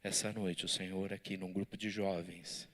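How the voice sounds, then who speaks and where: A man, a pastor, teaching a Bible study in church with animated, lively delivery and banter with his listeners. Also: low voice, moderate pace.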